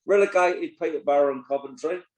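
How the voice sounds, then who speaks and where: A man's voice: on the high side, fairly quick. A man, co-hosting a casual football podcast, blunt and opinionated, swearing freely. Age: 60s. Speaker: podcast co-host and pundit. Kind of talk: casual chat. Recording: roomy echo.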